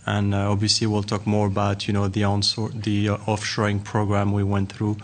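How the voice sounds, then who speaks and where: A man from France, seated at a panel table, introduces himself in a low, strikingly flat monotone, hesitating and filling gaps with many ums. His voice comes through a table microphone with faint room noise behind it. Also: fairly quick. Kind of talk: self-introduction at a conference panel.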